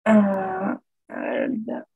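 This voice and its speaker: A woman teaching a live online lesson with a gravelly, slightly husky voice. She speaks very slowly, stretching out words in pronunciation drills and asking the chat questions before praising the answers. Her tone is dark and mellow. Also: mid-range voice, little pitch movement, dull audio. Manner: slow, drawn-out pronunciation drills. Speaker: French teacher.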